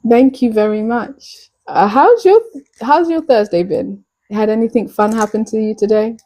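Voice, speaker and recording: A woman's voice: on the high side, normal speed, warm tone. A British woman hosting a casual livestream, chatting playfully with guests and greeting viewers by name. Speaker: livestream host. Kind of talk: casual live chat with viewers.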